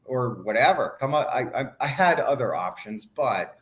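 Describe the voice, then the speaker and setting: A man's voice: medium pitch, expressive, moderate pace. A middle-aged man from Hawaii, a guest in an interview, talking casually and rambling, with many fillers and restarts.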